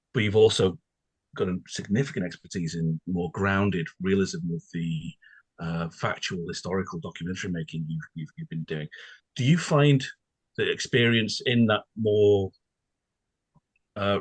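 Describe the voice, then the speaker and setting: A man hosting a casual interview, asking long, halting questions with asides along the way. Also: low voice, breathy edge, dry recording. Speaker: podcast host, interviewer.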